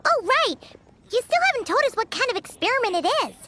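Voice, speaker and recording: A woman playing a tiny, childlike fairy companion in a video game, with a squeaky voice and an eager, inquisitive manner. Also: sing-song, fast, bright, forceful tone, slight background noise, exaggerated voice. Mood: curious.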